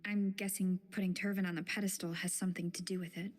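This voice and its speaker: An actress playing a calm, supportive young woman adventurer in a video game, speaking softly and thoughtfully. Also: fairly low pitch, quick pace, soft background music, lightly acted. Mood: pondering. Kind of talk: video game character acting.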